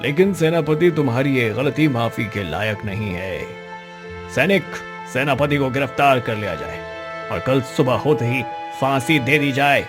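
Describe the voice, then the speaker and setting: Stately storybook king, acted by a man, shrewd, formal, authoritative, medium pitch, clear background music. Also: animated, measured pace, close to an everyday voice. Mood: stern. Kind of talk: Hindi moral-story cartoon dub.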